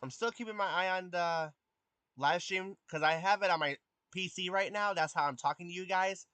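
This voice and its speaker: A man's voice: moderate pace, pushed and bright, smooth, no echo. Youthful-sounding young man chatting on stream. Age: 20s. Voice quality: youthful voice that has not deepened. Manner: hesitant, many ums and restarts, answers chat questions. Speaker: live streamer. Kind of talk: casual live stream chat with viewers.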